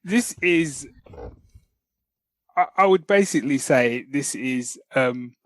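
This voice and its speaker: A man co-hosting a podcast, telling stories in an animated, slangy, casual review style. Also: mid-range voice, takes it slowly, no echo. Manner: animated storytelling with slangy asides. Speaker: podcast co-host. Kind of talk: casual chat, film review.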